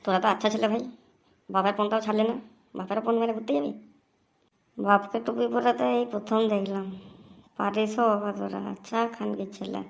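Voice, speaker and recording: Woman playing sly young cartoon accomplice, gravelly edge, fast delivery, slangy. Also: mid-range voice, steady tone. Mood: mocking. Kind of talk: amateur comedy cartoon dub.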